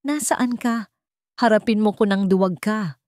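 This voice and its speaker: A woman's voice: medium pitch, unhurried, darkish tone, no echo, voice pushed up, lightly acted. A woman acts out a wealthy grown son from a dramatic audio story. He is devoted and commanding, speaking emphatically in a dry, close recording. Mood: angry, commanding.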